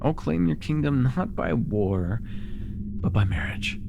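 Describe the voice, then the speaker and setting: A man acting a smug, seductive and menacing sorcerer ruler in a fantasy ASMR roleplay, with a theatrical, teasing, deliberate delivery. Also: medium pitch, very animated, vocal fry, noisy background, exaggerated voice. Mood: coaxing, menacing. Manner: theatrical, teasing, deliberate, with murmured asides and sudden force.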